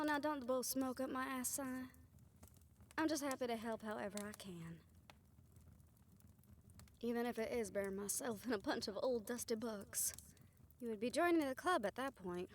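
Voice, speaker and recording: A woman's voice: on the high side, slight background noise, natural voice. A woman acting a good-natured young spellcaster in an audio roleplay, talking in a casual, folksy, wry way. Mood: wry, upbeat.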